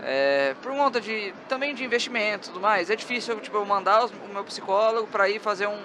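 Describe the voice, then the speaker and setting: A man's voice: high voice, brisk, background noise. A Brazilian man co-hosting a podcast, asking long, rambling questions that circle before landing. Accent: Brazilian Portuguese. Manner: rambling, restarts his questions. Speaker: podcast co-host. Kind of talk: casual podcast interview.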